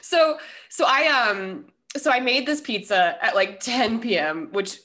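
A woman in her thirties chatting casually as a podcast host, telling things in an animated, unfiltered way. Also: fairly high pitch, measured pace. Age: 30s. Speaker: podcast host interviewing a guest. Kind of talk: casual chat.

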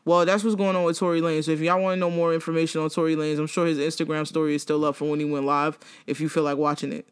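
A woman in her thirties co-hosting a casual gossip chat, blunt and profane, launching into animated rants. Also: sits low, very fast, clean tone. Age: 30s. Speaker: podcast co-host.

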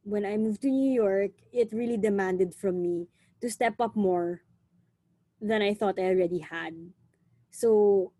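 A young woman from the Philippines with a Filipino accent, chatting casually and lively, often saying "you know" and slipping in Tagalog words. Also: mid-range voice, unhurried, no echo. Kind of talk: casual conversation.

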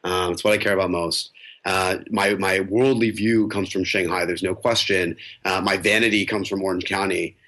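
A man's voice: low-pitched, fairly even intonation, normal speed, a bit bright. A man in his twenties, a podcast guest talking casually and reflectively, with many fillers and restarts. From United States. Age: 20s. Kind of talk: casual interview talk.

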